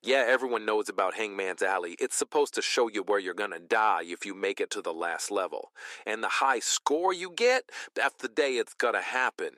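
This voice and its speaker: A man's voice: on the low side, expressive, normal speed, bright, forceful tone, no room sound, clean studio sound. An actor playing a teenage boy, an arcade regular, giving a slangy, offhand interview about a creepy rumor. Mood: ominous, offhand. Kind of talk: interview in an audio drama.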